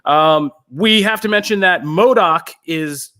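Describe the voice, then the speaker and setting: Higher-pitched American man hosting casually. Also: slow, a crowd in the background. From Pennsylvania, United States. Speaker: podcast host. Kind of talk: casual pop culture recommendations.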